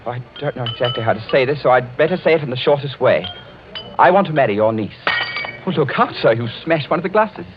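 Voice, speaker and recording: An actor playing an earnest, polite young English lord in love, in 1940s radio drama style. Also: male voice, medium pitch, animated, fast talker, natural voice. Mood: nervous, earnest. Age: young adult. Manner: eager, deferential, well-bred. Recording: dull vintage radio recording with music.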